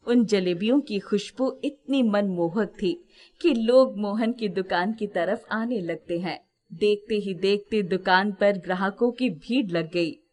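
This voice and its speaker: A woman's voice: mid-range voice, unhurried, darkish tone. A woman narrating a scripted moral story as its storyteller.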